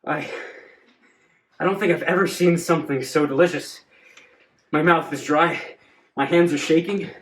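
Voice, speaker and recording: A man's voice: a little high, normal speed, long pauses, a bit bright. A man playing a bossy, lustful groom in an amateur comedy skit, melodramatic and whiny. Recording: echoey room, faint noise.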